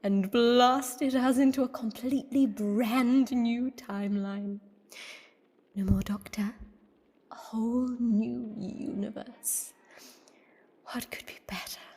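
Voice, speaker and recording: An actress playing a gleeful, gloating alien time-meddler villain in an audio drama, with a theatrical, taunting, giggling delivery. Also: female voice, a little high, steady tone, slow, a little mellow, breathy edge, exaggerated voice. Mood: smug, gloating. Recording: long cavernous reverb.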